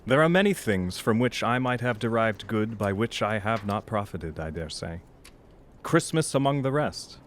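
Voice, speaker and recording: A man's voice: fairly low pitch, lightly acted. Man playing an earnest, cheerful young nephew. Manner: earnest, hearty, pleading. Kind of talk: audio drama acting.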